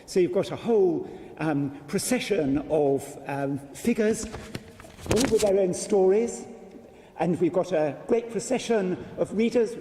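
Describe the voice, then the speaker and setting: A man giving an informal introductory speech to an audience, hesitant and pausing mid-phrase. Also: sits high, warm tone. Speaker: speaker introducing a public reading. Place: large hall with an audience. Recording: echoey hall with some noise and clicks.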